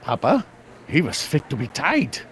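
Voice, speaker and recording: A man's voice: medium pitch, wildly swooping pitch, a little slow, a bit bright, slight background noise. An actor voicing a stern, proud, older immigrant father in a video game, with an emphatic, blustering manner. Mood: regretful.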